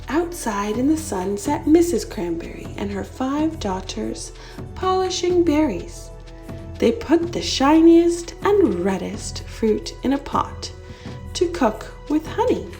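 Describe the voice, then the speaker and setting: A woman reading a children's story aloud with expressive, unhurried narration. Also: high voice, faint music behind.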